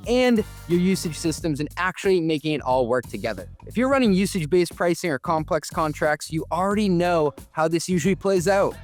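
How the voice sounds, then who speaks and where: A man co-hosting a business podcast, talking casually in informal, colloquial language. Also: fairly high pitch. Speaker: podcast co-host.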